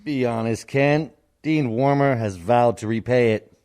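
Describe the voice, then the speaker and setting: A grim college frat brother played by a man breaks bad news in an amateur radio comedy. His voice is mid-range and his delivery slow. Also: mid-range voice, darkish tone, smooth, lightly acted.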